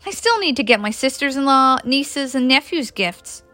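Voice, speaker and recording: A woman with a Newfoundland accent voicing a warm, firm, good-humored young woman in a story, emphatic and friendly. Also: high voice, animated, normal speed, pitched above the performer's own voice. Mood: friendly, upbeat.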